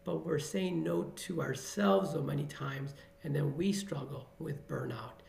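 A man's voice: on the high side, steady tone, a little slow, creaky, a little mellow, reverberant, a little hiss or hum. A middle-aged man from the Chicago area, an emergency physician giving earnest, motivational interview answers and repeating phrases for emphasis.

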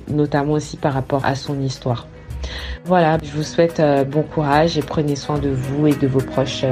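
A woman sharing a family recipe story in a hesitant way, with many filler pauses. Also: a bass voice, warm tone.

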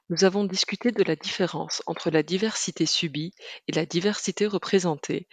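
A woman's voice: on the low side, dry recording. A woman podcast host reading a scripted narration in French, recorded close.

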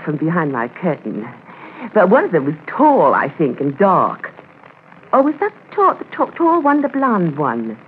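Chatty, muddled cabin landlady, eager and gossipy, very animated delivery, tinny old radio sound. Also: lowish voice. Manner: rambling, gossipy, self-correcting. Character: chatty, eager, muddle-headed woman witness running tourist cabins. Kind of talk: 1950s radio drama acting. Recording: tinny, noisy 1950s radio transcription.

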